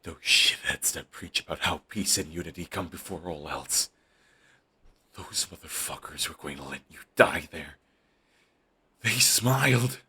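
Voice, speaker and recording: A man's voice: low-pitched, animated, a little slow, pushed and bright, breathy edge, full character voice. A young man playing a bitter, fiercely devoted male villain in an audio roleplay, talking in an intense, raw way and swearing often. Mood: furious.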